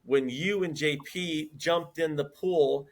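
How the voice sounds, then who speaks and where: A man's voice: fairly high pitch, slow pace. A man in his fifties co-hosting a podcast, talking earnestly in casual chat and repeating words as he builds his points. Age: 50s. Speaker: podcast co-host.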